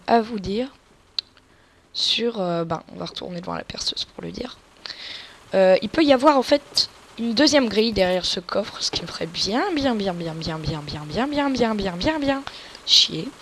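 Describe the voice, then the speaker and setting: A woman's voice: mid-range voice, fairly bright tone, a little hiss or hum. A woman with a cold giving casual, crude, joking gameplay commentary and explaining tactics to viewers as a let's play gamer. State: has a cold.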